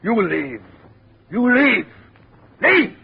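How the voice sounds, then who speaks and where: A man's voice: very high-pitched, big pitch swings, very slow, creaky, slightly rough, highs cut off, heavily acted. An actor in a 1950s radio drama playing an emotional French immigrant workman who speaks emphatic broken English with a French accent. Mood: indignant, angry. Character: warm, excitable French immigrant steelworker, quick to anger. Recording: noisy, band-limited old radio recording.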